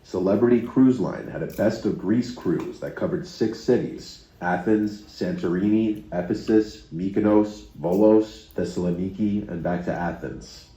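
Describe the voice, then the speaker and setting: Deep dark male narrator, steady scripted storytelling, muffled roomy playback. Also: measured pace, few pauses. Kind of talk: scripted first-person narration.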